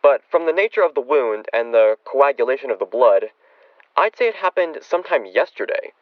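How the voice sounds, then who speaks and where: An actor playing a talkative, folksy frontier doctor in a radio western, clinical yet apologetic and darkly flippant. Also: male voice, medium pitch, big pitch swings, a little fast, no echo, phone-quality sound, natural voice. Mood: matter-of-fact. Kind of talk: old-time radio western drama acting.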